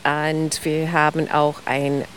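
A woman's voice: low voice, flat delivery, slow, rough recording. Woman, explains history with keen enthusiasm, small grammar slips, a bit of background noise. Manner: non-native German with grammar slips, enthusiastic, explains step by step. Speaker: ice cream history expert. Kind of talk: explanatory interview talk.

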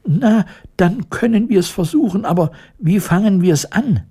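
A tiny, imperious female mouse queen, as a male narrator puts her on for a storybook. The voice is squeaky and pitched far up, swooping with regal self-importance and quick alarm. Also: expressive, warm tone, husky, heavily acted. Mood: willing. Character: haughty little female mouse queen, easily indignant and alarmed.

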